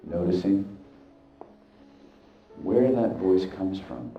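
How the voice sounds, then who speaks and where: Very deep, slow-voiced man guiding hypnosis. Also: very animated. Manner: deliberate, halting short phrases with hypnotic repetition. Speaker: hypnosis guide. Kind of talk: guided hypnosis, slow meditative narration. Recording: echoey, dull sound with music behind. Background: background music.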